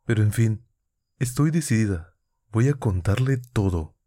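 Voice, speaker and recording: An impassioned young woman, near tears and pleading, voiced by a man reading an audiobook, lifted well above his low natural register. The delivery is urgent and emotional, and the recording is dry and close. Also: expressive, unhurried, dark tone, no echo. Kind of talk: audiobook character voice.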